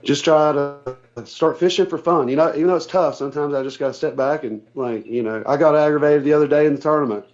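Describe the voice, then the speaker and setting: A man being interviewed casually as a guest over the phone, speaking in a plain, low-key, somewhat hesitant way. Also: quick pace, darkish tone, faint music behind. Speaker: guest, tournament kayak angler. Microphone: remote phone call. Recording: dull, band-limited phone call audio.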